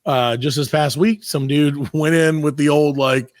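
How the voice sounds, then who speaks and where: A man's voice: fairly high pitch, unhurried, dry recording, studio quality. A man co-hosting a comedy podcast in casual, slangy chat, telling stories and acting out what people said. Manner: animated slangy storytelling, acts out quoted remarks. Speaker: podcast co-host.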